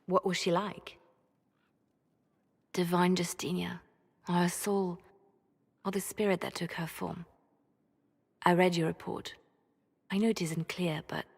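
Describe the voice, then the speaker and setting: A woman's voice: lowish voice, level tone, measured pace, creaky, long pauses, slightly rough, long reverb tail, exaggerated voice. An actress playing a reserved, watchful woman spymaster in a game cutscene, speaking carefully and formally. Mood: wistful, subdued. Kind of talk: dramatic game cutscene dialogue.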